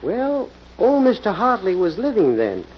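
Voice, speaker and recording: A man's voice: high-pitched, huge pitch swings, a little slow, heavily acted. A man playing a polite but wary steel mill manager in an old-time radio drama. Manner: hesitant, courteous, wary. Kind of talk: 1940s radio acting. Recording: muffled old radio recording, faint hiss.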